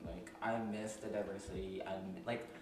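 A young man from Philadelphia hosting a casual chat with a friend, chatty and quick to laugh, loose with slang. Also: low voice. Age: young adult. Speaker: podcast host. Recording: echoey room, mic a bit far, steady background noise.

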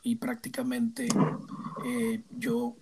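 Un hombre de unos cincuenta años con acento puertorriqueño, invitado en una entrevista, que habla de forma reflexiva y pausada, con muchas muletillas. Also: male voice, very high-pitched, monotone, creaky, noisy background. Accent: Puerto Rican. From Puerto Rico. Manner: reflective, hesitant, many fillers. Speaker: guest, theme park designer. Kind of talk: casual interview answers.

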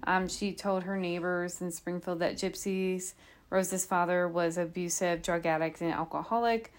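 A woman's voice: fairly low pitch, steady tone, moderate pace. A woman hosting a podcast, telling a true crime case in an informal, conversational way with asides and rhetorical questions. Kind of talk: conversational storytelling from notes.

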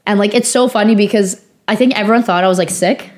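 Young woman co-host, raspy and sniffly from a lingering cold, chatty, rambling delivery full of "like.". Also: medium pitch, fast talker, darkish tone. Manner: rambling storytelling with many fillers. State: hoarse, recovering from lost voice; sniffly from sinus infection. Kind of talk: casual chat.

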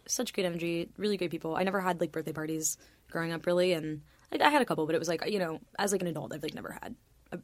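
Fast-talking young woman, rambling. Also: mid-range voice, no room sound. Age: 20s. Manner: fast, rambling, lots of "like", swears casually. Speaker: musician guest. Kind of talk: casual podcast chat.

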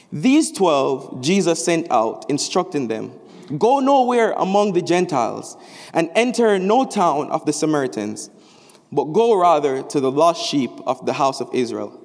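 A young man from Jamaica delivers a chapel sermon in a somewhat high voice. His phrasing is animated and rises on emphatic words. The sound carries a long reverb from the hall. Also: a little slow. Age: young adult. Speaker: student preacher. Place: large chapel.

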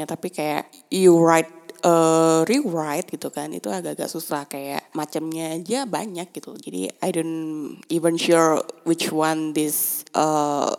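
Low-voiced woman, hesitant and code-switching. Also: a little mellow. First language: Indonesian. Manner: switches between Indonesian and English mid-sentence; hesitant, many fillers and restarts, laughs often. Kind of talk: casual monologue.

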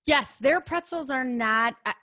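A woman's voice: high voice, unhurried, sharp tone, no echo, clean studio sound. A middle-aged woman from the Chicago area with a Chicago accent, chatting as an interview guest and laughing easily at herself. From Chicago area, USA. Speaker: guest, makeup artist. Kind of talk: casual conversational interview.

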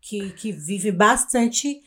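A woman's voice: medium pitch, lively intonation, takes it slowly, fairly bright tone, breathy edge. A woman from in Brazil from that state, co-hosting a podcast and telling anecdotes in casual, slangy talk. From Brazil, Minas Gerais. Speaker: podcast co-host.

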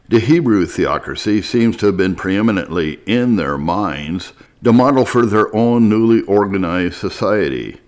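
Guy with a lowish voice reading a history book out loud, measured and formal. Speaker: narrator reading history aloud. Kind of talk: scripted audiobook-style narration.

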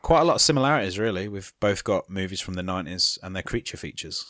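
A man's voice: low voice, lively intonation, quick pace, fairly bright tone, no echo, clean studio sound. A man co-hosting a casual film podcast, mostly chiming in with short agreeing remarks. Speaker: podcast co-host.

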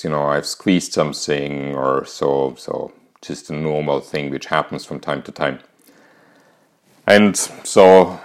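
A man in his fifties with an Austrian accent, hosting a podcast and calmly telling a candid personal story. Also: deep voice. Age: 50s. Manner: calm, reflective, candid. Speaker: podcast host.

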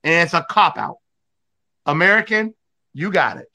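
A man hosts an online audio discussion, lecturing his listeners with emphatic, repeated points. His voice sits high and sounds bright and forceful. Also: big pitch swings, normal speed. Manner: emphatic, preachy, repetitive. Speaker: host of an online audio room. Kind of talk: political talk.

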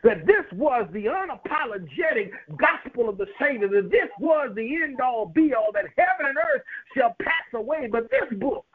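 High-voiced preacher on tinny radio. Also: male voice, sing-song, moderate pace, hardly any pauses, husky. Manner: emphatic, rhythmic preaching with rising repetition. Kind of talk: sermon. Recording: tinny phone-like radio broadcast.

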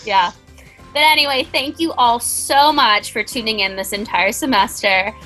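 A woman's voice: a little high, moderate pace, pushed and bright, faint music behind, poor audio. A woman, co-hosting a casual podcast in a chatty, self-deprecating way. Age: 20s. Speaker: podcast co-host.

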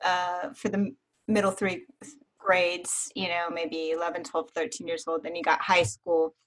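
A woman's voice: on the low side, flat delivery, normal speed, a bit bright, low-quality recording. Enthusiastic woman hosting a chat. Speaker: host. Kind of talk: casual interview chat.

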